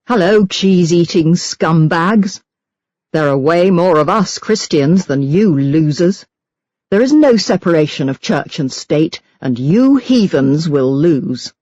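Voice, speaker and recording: A woman's voice: fairly low pitch, expressive, takes it slowly, mellow, no room sound, dull audio. A woman reading as a venomous, self-righteous religious hate-mail writer in an audiobook, scornful and emphatic. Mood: scornful, threatening. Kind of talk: dramatised audiobook reading of letters.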